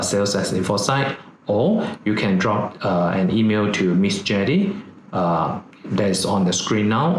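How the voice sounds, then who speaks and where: A man's voice: on the low side, echoey room, slight background noise. A middle-aged man with a Malaysian English accent, frankly explaining certification details in a live webinar talk, with frequent "uh" fillers. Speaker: company CEO presenting training.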